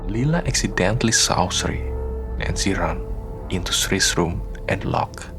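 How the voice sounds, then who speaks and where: A man narrating a scripted radio drama in a solemn, measured storytelling manner. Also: low voice, animated, over music.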